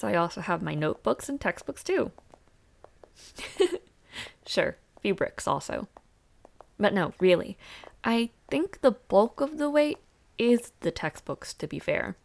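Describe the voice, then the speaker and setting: A chatty young female university student played by a woman in an audio roleplay. Her medium-pitched voice often drops into a creaky fry. She talks in a casual, slightly hesitant way, like a friendly, over-prepared dormmate. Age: young adult. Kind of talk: roleplay audio drama, casual one-sided conversation.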